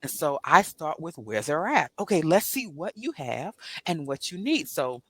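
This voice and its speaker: A woman financial planner, a guest on an interview show, talking in an upbeat, motivational way, animated and a little preachy, with a habit of asking "right?" and laughing often. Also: low voice, moderate pace, husky, no echo. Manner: laughs often, swings into lively voiced asides.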